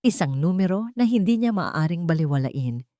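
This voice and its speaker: A woman narrates a scripted audio drama in a dramatic storytelling style. Her voice is notably low, and the recording is dry, with very little room sound. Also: animated, moderate pace, dark tone, dry recording.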